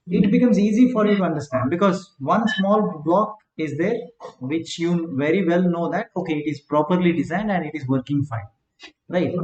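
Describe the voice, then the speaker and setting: A man's voice: high voice, a little mellow. Man lecturing in an echoey classroom. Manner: repeats words, asks "right?" often. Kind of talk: classroom lecture, dictating notes slowly. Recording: echoey classroom, dull lo-fi sound.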